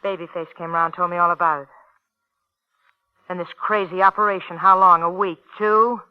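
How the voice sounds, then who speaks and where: A woman's voice: lowish voice, fairly even intonation, moderate pace, lightly acted. A gangster's possessive, knowing woman, played by an actress in an old radio play. She probes and coaxes, circling back on her questions. The sound is muffled, like an aged broadcast. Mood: accusing. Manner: probing, coaxing, repeating questions. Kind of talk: 1950s radio drama acting.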